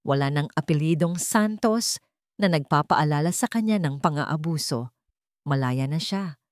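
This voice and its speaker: A woman's voice: low voice, animated, normal speed, no room sound, studio quality. A woman reading a dramatic love story as an audiobook narrator.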